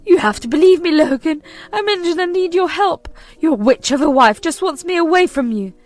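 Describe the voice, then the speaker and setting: A woman voicing a manipulative, whining older mother-in-law who accuses and pleads in a shrill, melodramatic way. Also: animated, moderate pace, faint music behind. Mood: shrill pleading.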